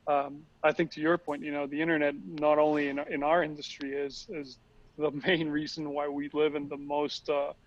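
Young man, from Costa Rica, halting, repeats words, fairly high, flat pitch, dull sound. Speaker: tequila company founder. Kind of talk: casual interview answers. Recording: dry, slightly dull sound.

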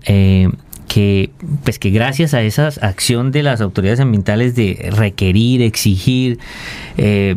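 A man's voice: on the low side, dark tone. A Colombian man explaining technical matters informally as an interview guest, hesitating and repeating words as he goes. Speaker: interview guest, waste treatment expert.

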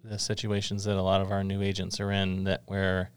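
Middle-aged guy hosting a podcast, low smooth voice, chatty and rambly. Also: completely flat delivery, fairly quick. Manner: rambling, friendly, many fillers. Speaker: podcast host. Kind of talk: casual interview chat. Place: realty office studio.

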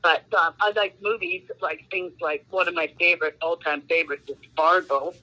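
Bright-voiced woman, rambling. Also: on the low side, steady tone, normal speed, poor audio. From Canada. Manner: rambling, full of "um" and "you know" fillers. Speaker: author guest. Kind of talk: casual interview chat.

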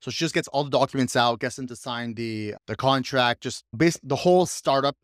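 A man hosting a relaxed podcast, speaking eagerly and circling back over his points. His voice sits in an ordinary middle register. The recording is dry and close. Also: medium pitch, brisk, no room sound. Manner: enthusiastic, rambling with restarts. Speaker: podcast host, coach and business owner. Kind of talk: casual podcast chat.

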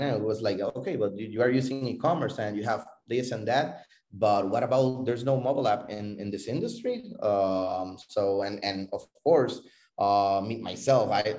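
A man with a Puerto Rican accent answering interview questions about his business, halting and repeating words as he searches for phrasing. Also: lowish voice, a little mellow. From Puerto Rico. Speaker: interview guest, tech company CEO. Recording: roomy, echoing voice recording.

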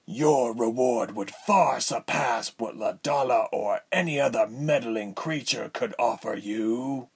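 Haughty male storybook villain, acted by a man, unhurried, raspy, creaky, silky persuasion. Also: mid-range voice, pitched above the performer's own voice. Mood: persuasive. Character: smooth, condescending male villain lord with grand designs.

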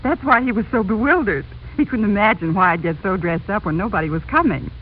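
Lady doing a gushing chatty housewife in an old radio ad, very animated, phone-like hissy recording. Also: medium pitch, quick pace, lightly acted. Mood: chatty, delighted. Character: chatty, delighted housewife in a silverware advertisement. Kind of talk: 1940s radio advertising dialogue. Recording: tinny, noisy 1940s radio recording.

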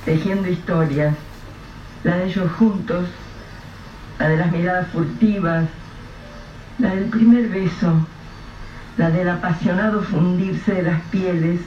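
Male Rioplatense narrator for a radio play, measured storytelling, dull sound with faint hiss. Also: high voice, steady tone, echoey room, far from the mic. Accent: Rioplatense Spanish.

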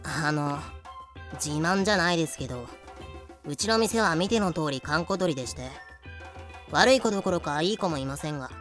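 A woman's voice: sits low, lively intonation, normal speed, music in the background. A woman playing a polite, unflappable tavern keeper with dry manners in an anime-style audio drama.

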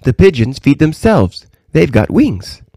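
A man's voice: fairly high pitch, big pitch swings, unhurried, dark tone, no room sound, studio quality, voice pushed up, full character voice. A man voicing a curious, eager little princess, an innocent girl full of questions. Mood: eager, inquisitive.

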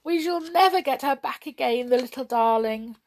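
Storybook fairy queen, played by a woman: distressed, high-pitched, tearful lament. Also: normal speed, darkish tone. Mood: grief-stricken. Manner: sobbing, lamenting. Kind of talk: children's storybook character.

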